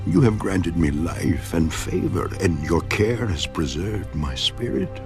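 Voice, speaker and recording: An actor playing an anguished, despairing man who pleads bitterly with God, theatrical in a dramatised scripture reading, swinging from hushed tones to crying out. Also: low voice, expressive, unhurried, over music. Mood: bitter pleading.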